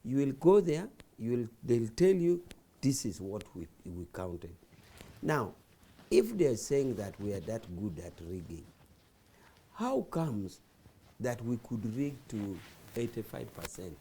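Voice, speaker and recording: A man's voice: medium pitch, big pitch swings, takes it slowly, warm tone, slight background noise. A man, a party official on a talk show, defending his side in an insistent, lecturing way. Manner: insistent, lecturing, repeats phrases.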